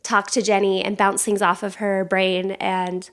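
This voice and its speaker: A woman with a medium-pitched voice hosts a podcast. She speaks in a casual, conversational interview style. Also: flat delivery, unhurried, fairly bright tone. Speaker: podcast host.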